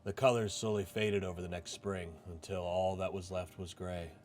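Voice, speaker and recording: A man's voice: sits low, flat delivery, moderate pace, a little mellow, close to an everyday voice. A man voicing a disillusioned, reflective male office clerk who narrates his own life in a visual novel. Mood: somber. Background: game music.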